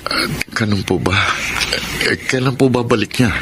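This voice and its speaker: An actor playing a lovesick, regretful young man in a Tagalog radio drama, speaking politely and haltingly. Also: mid-range voice, very noisy, lightly acted. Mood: polite, hesitant. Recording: dramatic music behind the voice.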